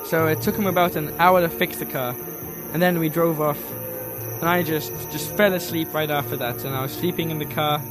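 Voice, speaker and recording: A man with a slightly high voice recounts his travels casually and earnestly. He phrases loosely and repeats words for emphasis, with light music underneath. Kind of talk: casual first-person storytelling.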